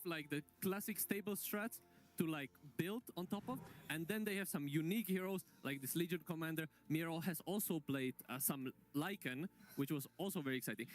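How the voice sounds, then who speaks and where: A man giving casual esports analysis as a player on a discussion panel. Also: a little high.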